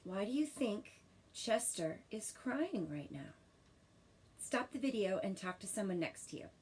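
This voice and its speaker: A woman teacher reading a children's story expressively and pausing to ask questions in a deliberate, instructive manner. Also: medium pitch, sing-song, darkish tone, a little hiss or hum.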